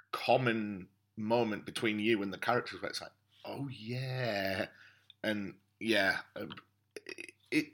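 A man co-hosting a podcast, discussing things analytically in thoughtful, halting phrases. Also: lowish voice, fairly bright tone. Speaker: podcast co-host.

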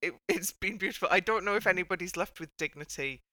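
Bright, wry woman podcast host. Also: lowish voice, fast talker. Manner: wry, wordy, mock-dramatic. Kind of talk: casual chat commentary.

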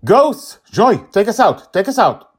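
A man voicing a frightened young man who yells in panic. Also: high voice, very animated, measured pace, husky, pitched above the performer's own voice, exaggerated voice. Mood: panicked. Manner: panicky yelling.

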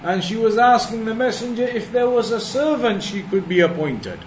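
A man giving a religious lesson as a teacher, reciting and then explaining in measured, deliberate phrases with emphasis and repetition. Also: high voice, very animated. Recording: echoey room with clear background noise.

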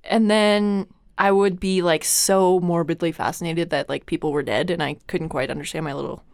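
A woman's voice: on the low side, steady tone, moderate pace, no echo, studio quality. Jokey self-mocking young woman. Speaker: podcast co-host. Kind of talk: casual chat.

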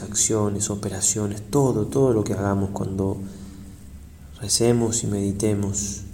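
Male priest for guided meditation: low, even delivery, reverent and unhurried, long pauses, faint noise behind. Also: big-room sound. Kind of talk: guided prayer meditation, slow and solemn.

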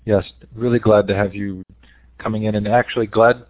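A slightly hoarse man with a lowish voice hosts an online education webinar, running through announcements in an upbeat way with plenty of fillers. The sound is dry and thin, like a web call. Nothing in the background colors it. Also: steady tone, a little fast, no room sound, narrow, tinny audio. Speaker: host. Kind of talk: webinar interview introduction.

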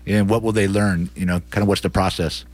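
Male radio interviewer. Low-pitched voice, informal questioning, dry studio-like sound without echo. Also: steady tone, a little fast.

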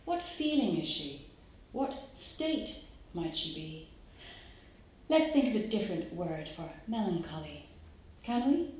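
An Irish woman from Dublin, a poet who talks her audience through her work in a musing way, pausing on fillers, then reads her poems aloud at a live event. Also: mid-range voice, sing-song, a little mellow, a little hiss or hum. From Ireland. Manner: musing, with hesitant fillers between phrases. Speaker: poet giving a reading. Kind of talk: poetry reading with spoken introduction. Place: live reading venue. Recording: very echoey room.

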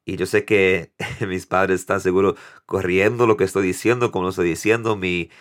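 A man in his thirties from New York hosting a casual solo podcast in Spanish, with an accent mixing Dominican and American English, halting and correcting himself often. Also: low voice, flat delivery. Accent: mix of Dominican Spanish and American English. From New York, United States. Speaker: podcast host.

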